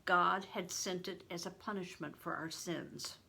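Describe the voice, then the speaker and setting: An older woman reading a novel aloud in an expressive, deliberate storytelling manner, stressing words for drama. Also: on the low side, vocal fry, slightly rough. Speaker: reader, retired reading teacher. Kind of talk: expressive audiobook-style read-aloud of a novel.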